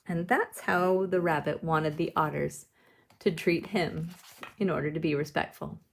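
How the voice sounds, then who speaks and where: A woman leading a drawing lesson, explaining each step simply and with gentle encouragement, as if to children. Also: low voice, steady tone, measured pace, vocal fry. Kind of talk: instructional demonstration, step-by-step.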